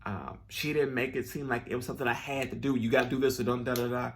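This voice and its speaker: A man's voice: mid-range voice, steady tone, quick pace, a bit bright, slight background noise. A man talking casually to his viewers, earnest and rambling with many restarts, sounding a bit nasal and stuffed up. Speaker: vlogger. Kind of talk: casual personal talk to camera.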